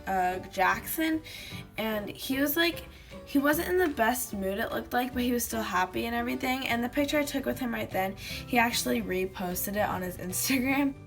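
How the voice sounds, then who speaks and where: A teenage girl vlogging casually to a webcam in her bedroom, telling stories with lots of fillers and giggles, her voice slightly hoarse from having lost it. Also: on the high side, expressive, normal speed, soft background music. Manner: chatty, giggly storytelling with many fillers. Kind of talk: casual chat to camera. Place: small bedroom indoors.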